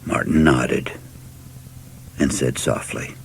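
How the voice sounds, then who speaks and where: A man narrating a story in a measured, reflective, dramatic style with a husky voice. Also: a bass voice, fairly even intonation, slight background noise. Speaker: audiobook narrator.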